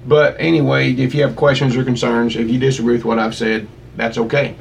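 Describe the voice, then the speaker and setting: A man's voice: mid-range voice, flat delivery, a little fast, creaky, a little hiss or hum, low-quality recording. A man hosting a radio show, talking in an easygoing, hesitant way with many fillers. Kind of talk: casual radio talk.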